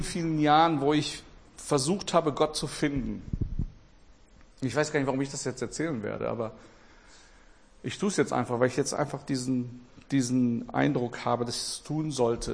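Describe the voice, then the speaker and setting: A man from Portugal preaching a sermon with passion, repeating his phrases and swinging between loud and quiet. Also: fairly high pitch.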